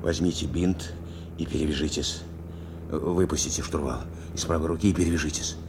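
An actor playing a steadfast, protective bomber navigator in a war radio drama, speaking with earnest insistence. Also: male voice, very deep voice, fairly even intonation, a little fast, vocal fry, background noise. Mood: urgent, reassuring. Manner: insistent, repeating short phrases. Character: steadfast male bomber navigator, urging and protective of his commander. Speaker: actor, also narrating in the first person.

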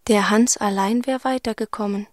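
An actress playing a solemn female chorus narrator in a radio drama, speaking in terse, deliberate fragments. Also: mid-range voice, fairly even intonation, no echo, clean studio sound, lightly acted.

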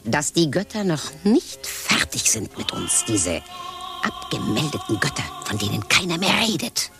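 An actress playing a wry, worldly woman who speaks calmly about fate and wishes, in a radio play. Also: deep voice, moderate pace. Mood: measured, wry. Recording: radio play with music behind.

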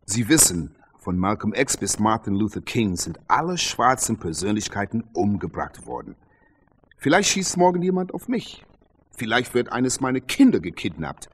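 An actor playing a proud, devout and defiant boxing champion, answering in a declamatory, emphatic way in a radio play. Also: male voice, lowish voice, very animated, normal speed, a little hiss or hum. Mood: grave, fateful. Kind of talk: radio drama acting, interview answers.

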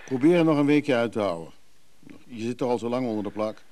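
An actor in a Dutch radio play voicing an evasive, soothing antiques buyer, speaking conversationally and a little hesitantly. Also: male voice, medium pitch, lively intonation, fast talker, darkish tone, natural voice. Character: Freek, evasive, soothing middle-class antiques buyer and brother.

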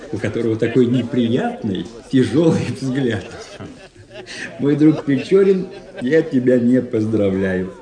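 A male actor playing a vain young cadet in a radio drama. His voice is mellow and mid-pitched, with affected, declamatory phrasing. It rings with echo, as a faint crowd murmurs behind. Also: close to an everyday voice. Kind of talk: theatrical radio play dialogue. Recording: echoey radio play scene with faint crowd.

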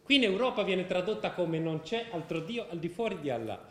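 A devout, mystical convert to Islam, speaking in a high register. He explains belief earnestly and didactically in a stage drama, with audible room echo around the voice. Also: expressive, a little fast, fairly bright tone. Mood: fervent, explanatory. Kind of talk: theatrical radio drama dialogue. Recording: roomy, echoing stage sound.

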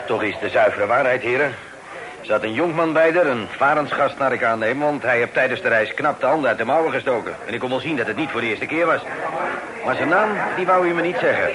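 An actor in a radio play as a canny barge skipper, plain-spoken and gruffly matter-of-fact. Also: male voice, mid-range voice, fairly quick, lightly acted, low-quality recording. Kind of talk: radio drama acting. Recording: radio play with tavern crowd behind.

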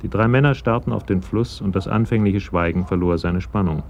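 A man's voice: low voice, fairly quick, darkish tone, slight background noise. A man giving scripted narration for a German radio crime drama.

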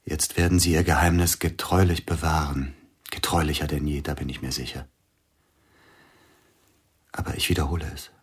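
An actor playing a grieving older pianist in a radio play, brooding and suspicious, often sinking to a hushed near-whisper. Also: male voice, extremely low pitch, level tone, moderate pace, long pauses, slightly rough.

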